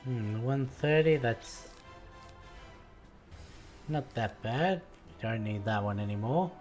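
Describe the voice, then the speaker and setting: A man's voice: mid-range voice, slow, darkish tone. A young man with a light Australian accent casually commenting as he plays a game on stream, musing aloud to chat. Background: game music.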